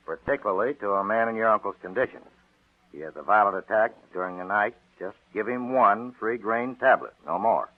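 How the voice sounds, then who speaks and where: A man's voice: on the low side, dry recording. An actor playing a worried, fatherly family doctor who frets and warns in a 1940s radio drama. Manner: fretful and fatherly. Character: fussy, worried middle-aged family doctor. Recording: tinny old radio broadcast.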